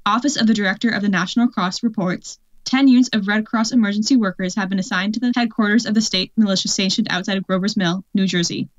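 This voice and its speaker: A woman's voice: medium pitch, fairly even intonation, fast talker, few pauses, close to an everyday voice. A woman playing a composed radio newsreader, reading bulletins formally and matter-of-factly in a radio play. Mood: formal, sober. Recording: dull, band-limited sound.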